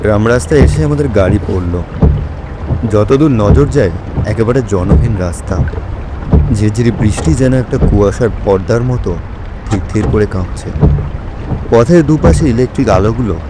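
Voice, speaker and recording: A man playing a detective's friend who narrates a mystery in measured, atmospheric literary storytelling. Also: lowish voice, lively intonation, very noisy, natural voice. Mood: measured, suspenseful. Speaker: narrator in an audio drama.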